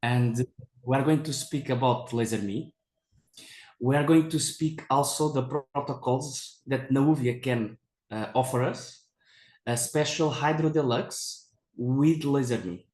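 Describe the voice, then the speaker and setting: Male Portuguese-accented doctor, mid-pitched, presenting to peers; unhurried, halting non-native delivery, roomy sound. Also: a little mellow. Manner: halting, repetitive non-native English phrasing. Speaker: dermatologist giving a presentation. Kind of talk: medical conference lecture. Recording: echoey presentation room.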